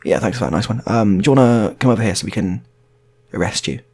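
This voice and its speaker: A man's voice: lowish voice, animated, quick pace, a little mellow. A man voicing a mild, polite police officer in an improvised story, hesitant and matter-of-fact. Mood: politely casual.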